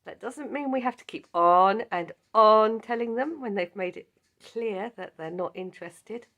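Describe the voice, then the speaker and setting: A woman with a croaky voice leading a Bible study, speaking in a measured, earnest way. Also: mid-range voice, a bit bright, highs cut off. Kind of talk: scripted Bible lesson.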